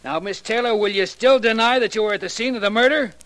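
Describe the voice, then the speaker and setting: A man's voice: high voice, fast talker, fairly bright tone. A man acting a brash, stubborn police sergeant in a radio detective drama, blunt, insistent and hectoring. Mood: accusing, insistent. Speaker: actor.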